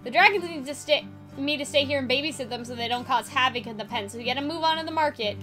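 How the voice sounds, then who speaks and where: Woman as teasing big sister, high-pitched, fast, bossy, assertive, visual novel character, light music behind. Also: close to an everyday voice. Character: bossy, teasing, brisk big sister who tends dragons.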